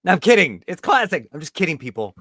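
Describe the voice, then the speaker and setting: A man with a higher-pitched voice hosts an informal interview. His intonation swings freely and his manner is playful, recorded close and dry. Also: very animated, quick pace, a bit bright, no room sound. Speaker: podcast host. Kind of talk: casual interview chat.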